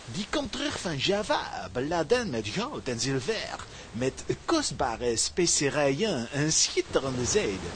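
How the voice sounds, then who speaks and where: Blustering pirate captain, swinging wildly. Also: male voice, medium pitch, very animated, measured pace, hardly any pauses, slight background noise. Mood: greedy excitement. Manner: blustering, commanding. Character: notorious male pirate captain, gruff and blustering. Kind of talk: children's audio drama acting.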